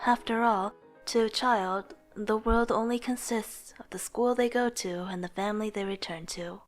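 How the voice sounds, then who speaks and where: A woman's voice: medium pitch, steady tone, normal speed, soft background music, lightly acted. A woman playing a rebellious, wistful young artist who tells her own life story in earnest scripted narration and dialogue. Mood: reflective, wistful. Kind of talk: scripted first-person narration with acted dialogue.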